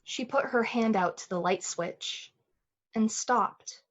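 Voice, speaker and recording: A woman's voice: fairly high pitch, slow pace, lo-fi sound. A woman playing the storyteller of a thriller, narrating intensely and with suspense in the manner of a 1940s radio play. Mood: ominous. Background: music.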